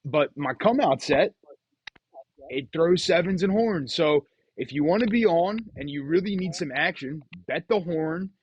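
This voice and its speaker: A man's voice: on the high side, animated, measured pace, breathy edge. A man chatting casually and telling gambling stories as the host of the show. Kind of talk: casual chat, storytelling about craps.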